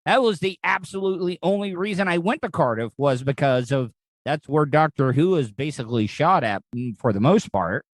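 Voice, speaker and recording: A man's voice: fairly high pitch, expressive, moderate pace, no echo. An American man hosting a podcast, talking in a rambling way and often repeating and restarting his words, recorded outdoors outside a hospital. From United States. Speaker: podcast host. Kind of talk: casual podcast chat.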